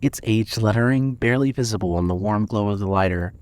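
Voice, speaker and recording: A man's voice: lowish voice, brisk, a little mellow, clear, resonant tone. A man narrating a scripted dramatic story for a fiction podcast.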